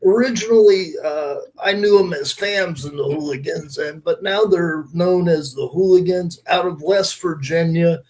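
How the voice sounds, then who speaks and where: A man's voice: a little high, normal speed, hardly any pauses, darkish tone, poor audio. A man co-hosting a podcast in casual, informal chat, swearing freely. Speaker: podcast co-host.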